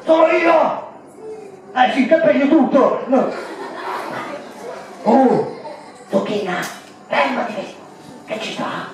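A loud, clowning man entertains children on an outdoor camp stage. His pitch jumps all over the place, and he sounds far from the mic in an echoey space. A chattering crowd fills the background. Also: lively intonation, slow pace, long pauses, noisy background. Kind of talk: live comic stage show for children.

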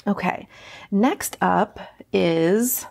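A woman's voice: medium pitch, extremely slow, gravelly, a little mellow. A woman hosting a casual hands-on craft tutorial, chatting informally and thinking aloud as she works.

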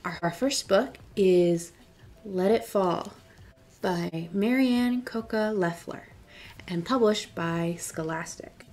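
A woman's voice: mid-range voice, slow pace, a little mellow. A young adult woman reading picture books aloud as a children's librarian, encouraging and speaking directly to young listeners. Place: indoor library room with bookshelves.

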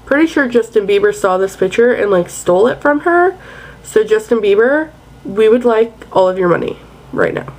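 A woman's voice: a little high, animated, moderate pace, darkish tone, low-quality recording. A young woman chatting casually and playfully to her webcam in a bedroom at night. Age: young adult. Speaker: vlogger. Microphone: webcam at close range.

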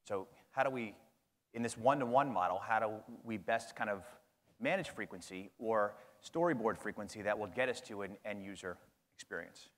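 Male panel moderator; slightly low pitch, long framing questions, reverberant hall. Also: moderate pace. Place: large conference hall stage. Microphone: lapel mic.

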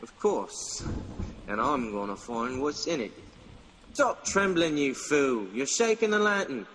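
An actor playing a cruel, greedy servant in a radio mystery, bullying and scornful, ending remarks with "yeah?". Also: male voice, mid-range voice, big pitch swings, a little slow, fairly bright tone. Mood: bossy. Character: cruel, greedy, scheming young working-class male servant. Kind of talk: radio mystery drama acting. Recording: long reverb, faint background noise.

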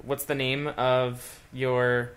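A man with a mid-range voice hosting a casual podcast interview. He teases his guest and fires off quick, curious follow-up questions. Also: mid-range voice, completely flat delivery, fairly bright tone.

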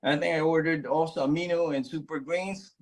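Man, about 40, from the Philippines; slightly high voice; eager testimonial to a phone camera, lots of restarts; small room, close mic. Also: brisk, rough recording. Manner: earnest, excited storytelling with many restarts. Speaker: network marketing member sharing his story. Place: small indoor room with plain wall. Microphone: phone camera at close range.

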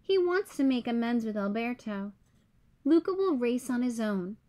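A woman reading a children's picture book aloud as a storyteller, in clear, simple, expressive storybook delivery. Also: a little high, normal speed.

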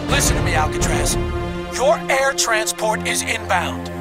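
Commanding officer, grave, raised pitch, authoritative, game voice acting, loud music and vehicles behind. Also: male voice, lively intonation, a little slow. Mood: grave, pressing.

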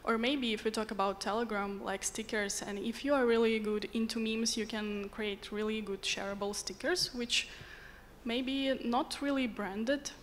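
A woman's voice: a little high, fairly even intonation, moderate pace. A woman speaking as a panelist on a conference stage, thoughtful and a little searching for words, into a handheld mic. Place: large conference hall stage. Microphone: handheld microphone. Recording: faint hall reverb, light room noise.

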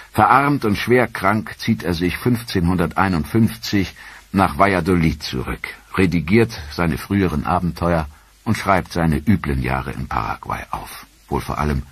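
A man giving measured, earnest scripted narration for a history documentary. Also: deep voice, lively intonation, slightly rough, no room sound. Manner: measured, earnest storytelling delivery.

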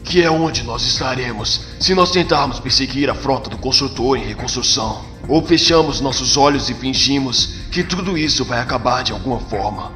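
A man's voice: mid-range voice, moderate pace, hardly any pauses, pushed and bright, lightly acted. Man acting a grave, resolute captain, reverberant. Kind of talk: comic book dub acting. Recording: long reverb over music.

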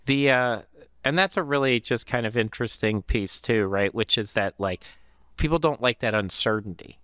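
A man in his thirties hosting an interview, chatty and animated, often acting out quoted lines. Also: mid-range voice, moderate pace, smooth, no echo, studio quality. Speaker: interview host. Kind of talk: casual interview chat. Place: hotel suite set with armchairs. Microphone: boom-arm podcast mic.

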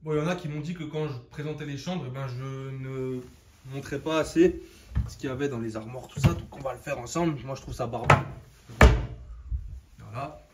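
Excitable slangy man vlogging on handheld camera, noisy background. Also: medium pitch, brisk. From Algeria. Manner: slangy, excitable, talks to viewers as "les gars". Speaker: travel vlogger. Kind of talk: casual travel vlog to camera. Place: outdoors and indoors on a road trip, hotel villa and restaurant. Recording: loud background noise. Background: loud ambient noise and vehicles.

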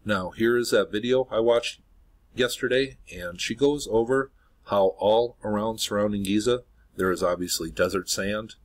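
Low-voiced man narrating a screen recording. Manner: earnest, speculative, says "obviously" often. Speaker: video host.

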